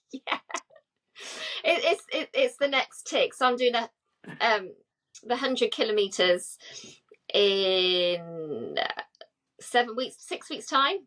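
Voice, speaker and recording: A woman's voice: a little high, sing-song, slow pace, long pauses, a bit bright. A woman in her forties co-hosting a casual chat podcast, talking chattily with lots of fillers and frequent laughs. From England. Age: 40s. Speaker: podcast co-host.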